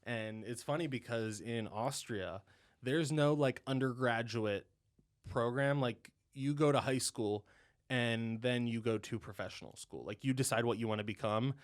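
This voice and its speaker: A man in his twenties from Ohio talks through his story in a loose, rambling way, padding his sentences with fillers and breaking into light laughs. His voice is mid-pitched and smooth. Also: normal speed. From Ohio, USA. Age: 20s. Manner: rambling, heavy on "you know" and "um", laughs often. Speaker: podcast guest, dental student. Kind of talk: casual interview chat.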